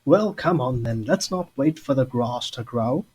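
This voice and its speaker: Woman as husky, cheeky young bus conductor. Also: very deep voice, expressive, measured pace, darkish tone, full character voice. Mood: cheeky, casual. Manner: colloquial, chatty, gossipy. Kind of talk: audio drama character acting. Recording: music under the voice.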